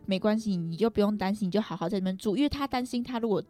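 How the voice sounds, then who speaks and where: A woman's voice: medium pitch, racing, warm tone, faint music behind. A young woman with a Taiwanese Mandarin accent telling a personal story casually, rambling and often circling back to rephrase.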